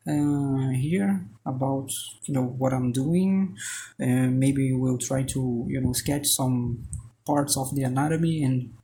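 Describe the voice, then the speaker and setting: A man's voice: medium pitch, warm tone, a little hiss or hum. A Brazilian man, a native Portuguese speaker with a Brazilian accent, rambling through a casual voice-over as he sketches, full of restarts and fillers, now and then joking at his own expense. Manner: joking, self-deprecating. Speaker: artist and channel host.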